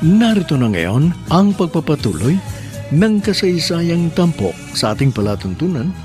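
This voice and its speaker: Male radio host-narrator, formal and polite, soft music bed. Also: a little high, very animated, normal speed. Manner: warm, formal, polite address. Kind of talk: scripted romantic narration.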